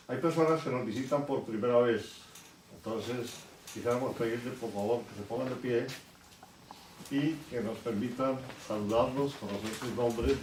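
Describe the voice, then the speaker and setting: Low-voiced man preaching in echoey church. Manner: slow, earnest, with pauses and fillers. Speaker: lay preacher leading the service. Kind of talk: church welcome and sermon. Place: church sanctuary. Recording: echoey church, distant mic, noisy.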